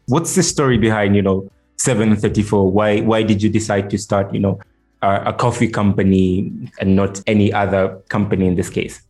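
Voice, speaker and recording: A man's voice: lowish voice, fairly even intonation, moderate pace, darkish tone, faint music behind. A man hosting a business podcast, introducing the show and interviewing his guest.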